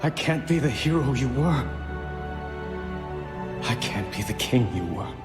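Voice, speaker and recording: A man's voice: mid-range voice, animated, measured pace, lightly acted. Young grieving prince, earnest and unsure, voiced by a man; reverberant cinematic mix over heavy music. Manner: earnest, shaken, pleading. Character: young prince grieving his fallen father. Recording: game cinematic audio with loud music and echo.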